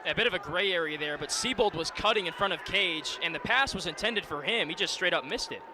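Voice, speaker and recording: A man's voice: high-pitched, brisk. A man working as colour analyst on a live sports broadcast, explaining plays and giving his opinion. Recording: noisy live broadcast from the game. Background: clear game noise.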